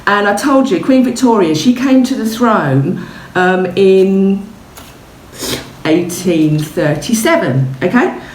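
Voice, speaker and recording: A woman's voice: mid-range voice, lively intonation, takes it slowly, a little mellow. Woman teacher in an echoey room. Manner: chatty, explains simply, often checks with "okay". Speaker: primary school teacher. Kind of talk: informal show-and-tell lesson for children. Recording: roomy echo, distant mic, faint noise.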